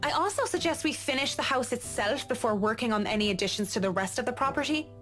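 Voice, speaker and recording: A woman voicing a professional young interior designer who speaks in a polite, measured way. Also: a little high, lively intonation, a bit bright. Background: game music.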